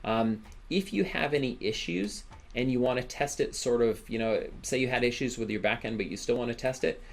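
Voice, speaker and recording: A man giving a software tutorial as an instructor, thinking aloud casually and checking in with "right?". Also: medium pitch, fairly quick, vocal fry. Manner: casual, informal explaining, often ends phrases with "right?".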